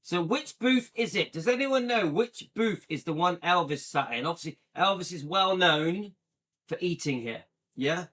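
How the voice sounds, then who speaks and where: Give me a man with a slightly high voice rambling casually to his viewers. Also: lively intonation, normal speed, fairly bright tone. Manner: rambling, chatty, addresses viewers as guys. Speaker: vlog host. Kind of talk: casual livestream chat while browsing videos.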